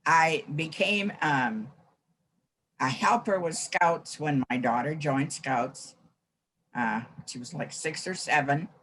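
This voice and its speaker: An 89-year-old American woman reminiscing in a group interview, with halting, deliberate phrasing. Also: sits low, fairly bright tone, rough recording. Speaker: former scout troop helper.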